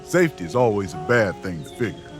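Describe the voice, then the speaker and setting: A man's voice: fairly low pitch, sing-song, fast, music in the background. A man playing an easygoing, wry, affectionate travel companion in an audio drama. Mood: wry, relaxed.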